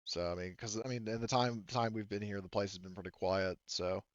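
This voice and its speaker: A man with a lowish, clean voice talks through a tabletop game in an improvised, conversational style. He feels his way hesitantly through phrases. The recording is dry and slightly dull. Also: fairly even intonation, a little fast, no room sound. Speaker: tabletop roleplaying player.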